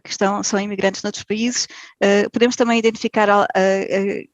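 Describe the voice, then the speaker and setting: A woman's voice: medium pitch, little pitch movement, a little fast, no room sound, highs cut off. Hesitant Portuguese woman, dry sound. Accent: European Portuguese. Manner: hesitant, many fillers and restarts. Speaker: podcast guest. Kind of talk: casual interview.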